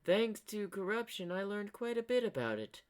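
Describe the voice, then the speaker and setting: A man's voice: sits high, very animated, moderate pace, clear, resonant tone, pitched above the performer's own voice. A man voicing a boastful, sadistic female unicorn pony sorceress in a fan-made story, smug and taunting. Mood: gloating.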